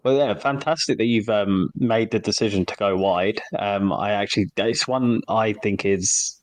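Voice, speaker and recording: A man's voice: fairly low pitch, moderate pace, dry recording. A man co-hosting a podcast, chatting casually and affirming his guest. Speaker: podcast co-host. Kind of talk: podcast interview.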